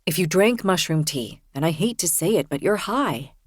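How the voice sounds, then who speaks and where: A woman reading a novel aloud, voicing a calm, condescending young man in a slightly lowered voice. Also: normal speed, breathy edge, no echo, studio quality. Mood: dismissive.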